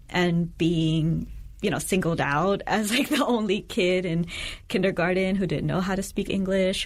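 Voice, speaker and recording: Low-voiced poet guest telling her story. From Philippines and the United States. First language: Tagalog. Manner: thoughtful, candid storytelling. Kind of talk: conversational interview.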